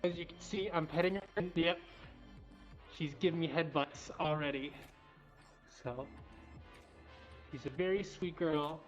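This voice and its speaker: Woman livestream host, low voice, informal and affectionate with animals, dull audio with faint music behind. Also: unhurried, long pauses. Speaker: cat cafe livestream host. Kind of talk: casual livestream chat. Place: indoors in a cat cafe.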